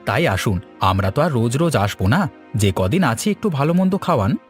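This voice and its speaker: A man's voice: medium pitch, sing-song, a little fast, soft background music, natural voice. A man voicing a stingy, fussy, complaining eldest son-in-law in a Bengali cartoon story.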